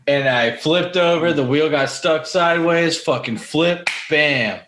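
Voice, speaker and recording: A man's voice: fairly high pitch, a little slow, fairly bright tone, slight background noise. A man chatting informally as a podcast host, telling personal stories with casual swearing. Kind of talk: casual chat with personal anecdotes. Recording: roomy, echoey room sound.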